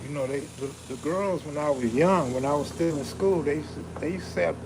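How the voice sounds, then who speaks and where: Laid-back streamer guy over steady background hum. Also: a little high, brisk. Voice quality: soothing soulful voice. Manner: laid-back, slangy, streetwise. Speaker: live stream host. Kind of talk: casual live chat, reading viewer comments aloud.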